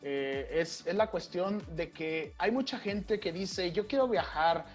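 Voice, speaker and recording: A man's voice: sits high, measured pace, soft background music. A man hosting an interview, explaining at length before he asks his question. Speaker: host, interviewer.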